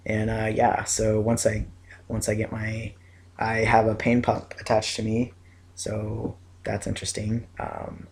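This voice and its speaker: A man's voice: on the low side, creaky, a little mellow. A young man vlogging casually from bed, groggy and rambling, his voice scratchy after surgery. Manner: groggy, rambling, lots of fillers. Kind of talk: casual chat to camera. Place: in bed at home.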